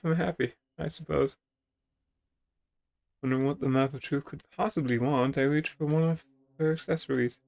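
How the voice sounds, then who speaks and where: A man's voice: mid-range voice, creaky. A man reading a text adventure game aloud as a dramatic reading, with casual asides. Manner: expressive, acting out dialogue.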